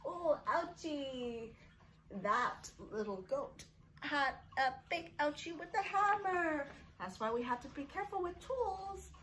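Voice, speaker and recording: A woman's voice: high voice, animated, moderate pace, a bit bright, a little hiss or hum, rough recording. A woman hosting story time for young children, reading in a sing-song way with simple phrasing aimed directly at little listeners. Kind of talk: sing-song read-aloud of a picture book for young children.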